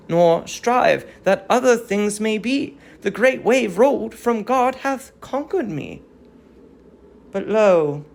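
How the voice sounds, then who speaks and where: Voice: medium pitch, creaky. A performer playing an aged fallen queen, sorrowful and resigned, in a stately declamatory reading of Greek tragedy.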